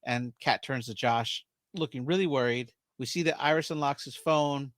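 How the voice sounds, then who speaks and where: Male podcast host, mid pitch, dry close sound, easygoing plot recap. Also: normal speed, a bit bright, no room sound. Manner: recounts plot scene by scene, laughs often. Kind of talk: casual movie recap chat.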